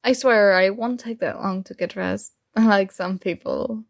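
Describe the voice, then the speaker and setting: A woman's voice: mid-range voice, measured pace, gravelly, no room sound, studio quality. A woman acting a young roommate who is shy, teasing and smitten with a friend, in a playful, conversational ASMR roleplay.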